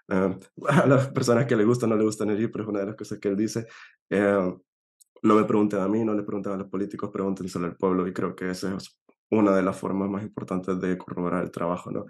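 A man from El Salvador hosting a casual podcast interview, his voice hoarse from allergies, chatty and quick to laugh. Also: low voice, level tone, darkish tone. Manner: friendly, laughs often, chatty. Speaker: podcast host. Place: home in Europe.

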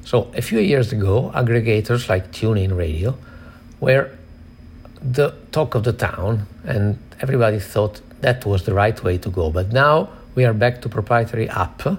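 Man, interview host, fry in the voice, thinking aloud as he asks, faint noisy backdrop. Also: lowish voice, animated, normal speed. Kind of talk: conversational interview questions.

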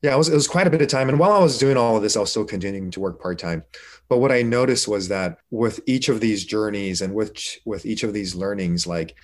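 Low-pitched middle-aged man telling his story. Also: quick pace. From Korea, moved to the United States. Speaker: physician, interview guest. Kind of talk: conversational personal storytelling.

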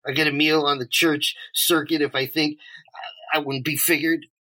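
Guy acting strung-out homeless addict man, bright edgy voice, bitter, sweary, trips over his words, audio play. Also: fairly high pitch, flat delivery, moderate pace, poor audio. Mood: agitated, desperate. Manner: agitated, stammering, profane, sarcastic. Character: desperate homeless male drug addict, bitter and mocking. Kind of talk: naturalistic two-person audio drama acting.

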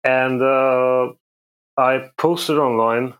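A middle-aged man from Egypt, chatting as an interview guest in a hesitant, halting way. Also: mid-range voice, fairly even intonation, fairly bright tone, clean tone. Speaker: podcast guest, comic creator. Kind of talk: casual interview chat.

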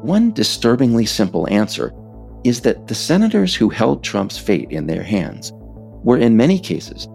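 An American man reading scripted political nonfiction as author and narrator, in a measured, emphatic way. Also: mid-range voice, big pitch swings, vocal fry, darkish tone, soft background music.

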